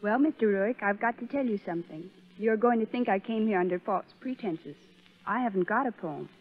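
Lady as sweet coy young actress in old radio ad, medium pitch, tinny muffled sound. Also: expressive, brisk, lightly acted. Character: charming young bit-part actress with a riddle. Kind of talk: 1930s radio advertising skit. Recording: muffled, narrow 1930s radio broadcast.

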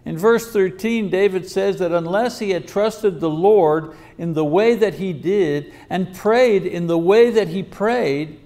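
A man in his sixties preaching a sermon at a podium, reading scripture and acting out prayers in a measured teaching manner. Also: sits high, mellow. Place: lecture hall at a podium. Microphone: podium microphone.

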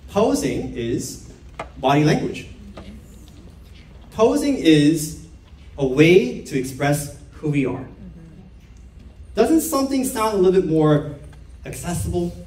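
A man's voice: fairly high pitch, big pitch swings, takes it slowly, pauses often, darkish tone, a little hiss or hum. A young man from Korea giving a playful stage talk to a live audience and asking the crowd questions. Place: dark theater stage with an audience. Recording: roomy stage sound heard from far off.

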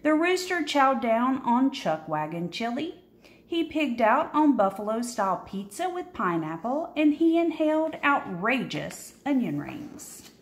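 A woman reading a children's picture book aloud expressively, with dramatic pauses and emphasis, recorded in a roomy, echoing indoor space. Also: fairly high pitch, sing-song. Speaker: storybook reader.

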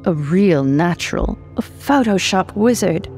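A woman voicing a smug, patronising male art teacher in a story, with halting, condescending delivery. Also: lowish voice, expressive, darkish tone, soft background music. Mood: smug praise.

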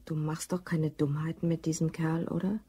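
A woman's voice: deep voice, flat delivery, normal speed, mellow, breathy edge. A woman playing a dry, knowing, sceptical office worker in a German crime radio drama.

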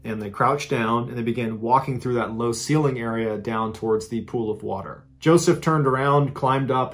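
Man telling a dark true story. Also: mid-range voice. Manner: animated, conversational retelling with dramatic emphasis. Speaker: storyteller host. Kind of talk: true-crime storytelling to camera. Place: indoor studio with a green-screen backdrop.

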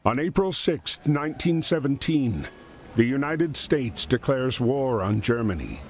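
A man narrating a wartime history game in a solemn, measured, dramatic storytelling style. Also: medium pitch, expressive, slightly rough. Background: music.

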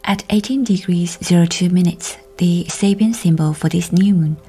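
A woman leading a guided meditation in a soft, breathy, whispery voice, with gentle, measured, soothing delivery. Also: on the low side.

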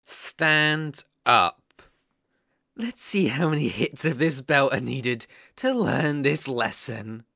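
A man's voice: medium pitch, animated, slow pace, gravelly, sharp tone, no echo, exaggerated voice. A man voicing a sadistic, possessive kidnapper in an ASMR roleplay, taunting and menacing with a mock-sweet manner. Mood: coldly threatening. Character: sadistic, possessive male psycho yandere kidnapper.

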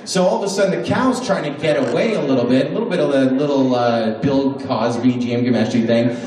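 A man's voice: mid-range voice, fairly quick, darkish tone, a little hiss or hum. A middle-aged man works a small club stage with a handheld mic, telling crude jokes in a loose, chatty way. His voice bounces around the room. It is picked up from well back in the club. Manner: loose, conversational delivery with crude punchlines. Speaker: stand-up comedian. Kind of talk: stand-up comedy set. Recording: echoey room recorded from a distance.

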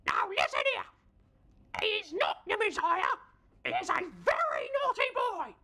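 A man's voice: very high-pitched, huge pitch swings, measured pace, sharp tone, pitched above the performer's own voice, full character voice. A man voicing a middle-aged mother in a film farce, screeching and indignant.

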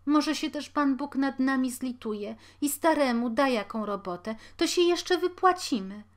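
A woman voicing a harried poor mother who scolds loudly and pleads tearfully. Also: high voice, expressive, unhurried, voice pushed up. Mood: pleading.

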